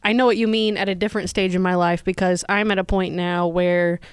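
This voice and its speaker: Smooth-voiced woman in her thirties. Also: on the low side, steady tone, brisk, no echo, studio quality. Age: 30s. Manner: supportive, frequent mm-hmms, reflective. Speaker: co-host. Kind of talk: casual chat.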